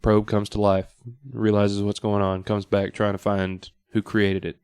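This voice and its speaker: A man speaking casually as a guest on a podcast, halting now and then as he thinks aloud. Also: on the low side, monotone, darkish tone, clean tone, no room sound, studio quality. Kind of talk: casual chat. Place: podcast studio.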